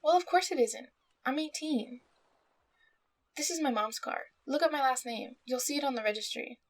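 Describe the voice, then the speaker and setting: Woman playing a high-voiced, sassy teenage big sister. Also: moderate pace. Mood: annoyed, defiant. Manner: sassy, exasperated. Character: defiant, protective eighteen-year-old big sister. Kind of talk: fan-dub dramatic acting.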